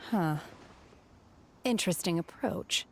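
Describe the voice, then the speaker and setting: A woman's voice: lowish voice, expressive, slow, vocal fry, a little hiss or hum, exaggerated voice. An actress playing an aloof, reserved young woman in a game, blunt and terse. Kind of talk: game voice acting.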